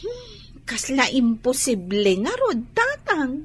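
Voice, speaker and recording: A woman's voice: high-pitched, wildly swooping pitch, takes it slowly, slight background noise, heavily acted. A woman playing a gossipy, giggly young daughter with a Filipino accent in a radio drama. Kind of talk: Ilocano radio drama acting.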